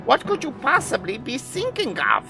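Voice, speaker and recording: A man's voice: extremely high pitch, huge pitch swings, moderate pace, creaky, over music, full character voice. A man playing a pompous, aggrieved mad scientist villain in an audio drama, with grandiose theatrical delivery. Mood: indignant.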